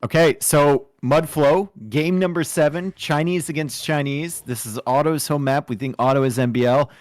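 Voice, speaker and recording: A man with a Canadian accent casting a strategy game, joking in relaxed banter. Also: on the high side, moderate pace, no echo. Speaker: game caster. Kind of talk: casual co-casting play-by-play.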